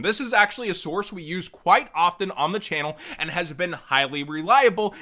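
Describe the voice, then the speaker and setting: A man hosting a card game channel, talking casually and energetically about product news, his voice a little hoarse after a workout. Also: high-pitched. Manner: energetic, fast, self-correcting. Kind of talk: casual news commentary to camera.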